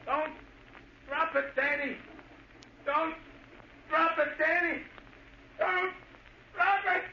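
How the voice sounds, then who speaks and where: An actor playing an unhinged, vengeful young war veteran turned sniper in a 1940s radio drama, speaking in an obsessive, repetitive, childlike way. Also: male voice, steady tone, slow pace. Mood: entranced, terrified. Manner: high-strung, obsessive, repetitive. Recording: dull, noisy old radio recording.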